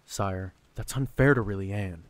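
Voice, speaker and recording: A man playing a respectful but quietly defiant castle guard in an audio drama, deferential and restrained. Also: fairly low pitch, normal speed, close to an everyday voice. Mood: pleading, respectful.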